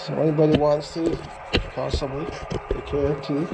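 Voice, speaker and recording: A middle-aged man giving a casual, halting, folksy account as he vlogs outdoors at a park. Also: on the high side, level tone. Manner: halting, folksy, stop-and-start storytelling. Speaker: amateur radio operator vlogging. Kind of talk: casual rambling outdoor vlog. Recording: clear ambient noise outdoors. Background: ambient outdoor noise.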